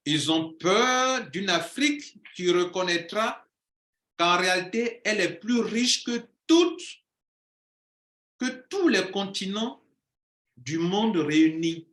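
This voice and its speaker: A man's voice: high voice, lively intonation, slow pace, a bit bright, roomy sound. A man speaking as a coach in an impassioned monologue, declaiming and repeating phrases for emphasis.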